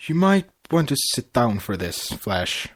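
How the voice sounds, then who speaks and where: A man voicing a composed, gentle and wry young mare princess in a storybook read-aloud. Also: medium pitch, very animated, unhurried.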